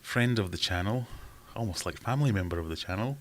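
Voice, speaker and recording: A man's voice: on the low side, animated, brisk, a little hiss or hum. A man hosting a casual livestream from home, rambling and self-deprecating as he reads out chat comments. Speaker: livestream host.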